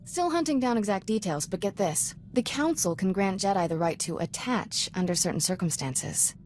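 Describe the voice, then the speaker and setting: A woman's voice: medium pitch, expressive, moderate pace, a little mellow, a little hiss or hum, natural voice. A woman playing a bookish, flirtatious Jedi companion in a video game, with a casual, clipped, teasing delivery. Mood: playful. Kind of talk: video game dialogue acting.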